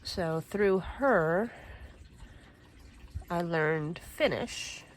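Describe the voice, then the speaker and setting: A Swedish-born woman in her thirties talks slowly in a somewhat low voice, rambling through her thoughts with fillers and the odd cleared throat. Her casual crafting chat is recorded at home with a faint hum of room noise behind it. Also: low-quality recording. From Sweden. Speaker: crafting vlogger.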